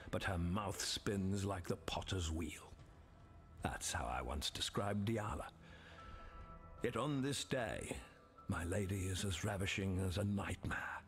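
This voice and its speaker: An actor playing a grandiose, lovestruck villain in a fantasy game, speaking with theatrical, poetic relish. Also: male voice, deep voice. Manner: theatrical, poetic, savouring words. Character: grandiose, lovestruck villain, suitor of a gemling queen. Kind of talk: fantasy video game character monologue.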